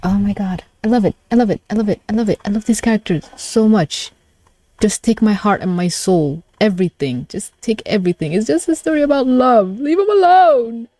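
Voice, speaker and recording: A young adult woman giving a casual live reaction, gushing and exclaiming with excitement. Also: medium pitch, fairly quick, no room sound. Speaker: video creator reacting to a webcomic. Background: pop song playing.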